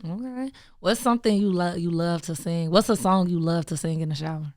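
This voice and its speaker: A woman hosts a radio interview in a fairly low voice. She asks relaxed, slangy questions and drops short affirmations. The sound is dry and close. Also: a little fast, no echo. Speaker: radio show host. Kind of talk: casual interview.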